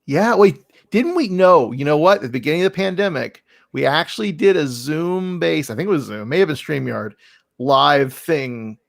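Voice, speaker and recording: A man's voice: on the high side, lively intonation, moderate pace, vocal fry, no room sound, clean studio sound. A man hosting a casual podcast interview, talking in an effusive, rambling, self-deprecating way. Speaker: podcast host.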